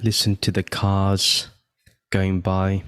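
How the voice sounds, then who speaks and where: A man leading a guided meditation, giving gentle step-by-step instructions with long pauses between phrases. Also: low voice, monotone, clear, resonant tone, no echo, studio quality. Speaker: meditation guide and podcast host.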